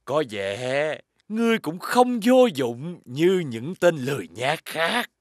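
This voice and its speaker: A man's voice: on the high side, very animated, slow, no echo, clean studio sound. A man voicing a greedy, menacing fairy-tale cartoon villain, mocking and domineering. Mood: menacing, smug.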